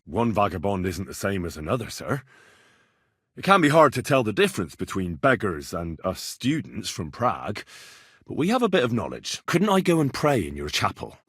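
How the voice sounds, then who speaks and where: A young medieval vagabond posing as a learned healer in a video game. His voice is slightly low and husky, with very animated pitch. He speaks with earnest, deferential formality, close and dry. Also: no echo, exaggerated voice. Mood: humble, earnest. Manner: deferential, measured, formal address. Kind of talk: scripted video game dialogue.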